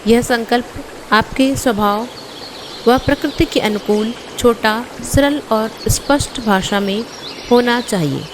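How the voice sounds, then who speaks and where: A woman guiding a yoga nidra meditation as an instructor, giving measured instructions in short phrases with pauses. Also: a little high, noisy background.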